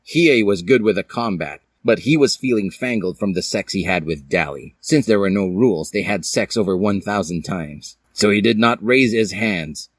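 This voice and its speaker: A man's voice: fairly low pitch, moderate pace, few pauses, dry recording, studio quality. A man reading fan fiction aloud as a dramatic, comic storyteller with a theatrical manner. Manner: theatrical, puts on character voices.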